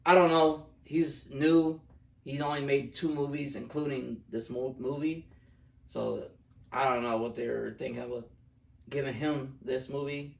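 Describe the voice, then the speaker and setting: A man's voice: medium pitch, fairly even intonation, measured pace, creaky. A young man in a casual, profane movie review chat, blunt, opinionated and joking. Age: young adult. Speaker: co-host of a movie review show. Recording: roomy echo, distant from the mic.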